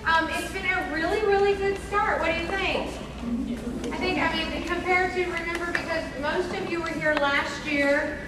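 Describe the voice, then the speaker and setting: A woman's voice: very high voice, fairly even intonation, moderate pace. A woman from the school leadership giving parents a brief, upbeat and folksy welcome. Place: school classroom or hall. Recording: very echoey, distant, noisy room.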